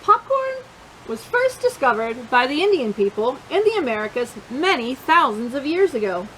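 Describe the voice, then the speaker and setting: A woman reading a picture book aloud to children as a storytime reader, expressive and emphatic, drawing out key words and adding little asides to her young listeners. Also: high voice, moderate pace, a little hiss or hum, poor audio.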